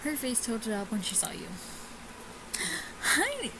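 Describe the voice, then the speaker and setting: Halting woman reading aloud, noisy recording. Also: on the high side. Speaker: gamer reading a visual novel aloud. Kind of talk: casual let's-play read-aloud with commentary.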